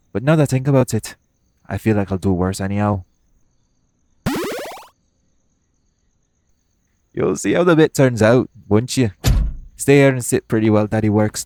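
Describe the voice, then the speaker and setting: A man's voice: lowish voice, a little mellow, slight background noise, natural voice. A man playing a cocky, teasing, foul-mouthed hitman in an audio roleplay. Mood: cocky, menacing. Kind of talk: audio roleplay acting addressed to the listener.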